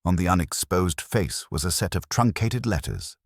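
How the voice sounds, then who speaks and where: A man reading scripted story narration in a measured, literary storytelling manner. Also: low voice, animated, dry recording, studio quality.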